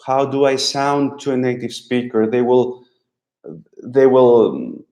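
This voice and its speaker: Live-stream host, a man with a Russian accent, slow halting delivery, echoey room. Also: mid-range voice, steady tone, mellow. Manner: slow, halting, with many repeated words. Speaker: language teacher hosting a live stream. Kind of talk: live stream talk answering viewers.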